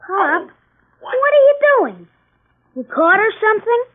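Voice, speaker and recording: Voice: extremely high pitch, big pitch swings, a little slow, phone-quality sound, heavily acted. A performer playing an eager, adventurous young American boy with breezy slang in an old-time radio drama. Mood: excited. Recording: thin band-limited old radio recording.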